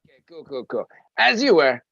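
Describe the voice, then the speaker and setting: A performer playing an anxious, excitable animal in an animated comedy table read, swinging from meek to frantic. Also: medium pitch, moderate pace, vocal fry, slightly rough, full character voice. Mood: baffled. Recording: roomy, slightly dull sound.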